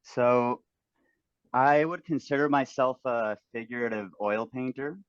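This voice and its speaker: A man's voice: mid-range voice, unhurried, no room sound, lo-fi sound. A man, speaking as an interview guest in a friendly, easygoing way. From Mexico. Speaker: guest painter. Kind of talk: relaxed interview talk.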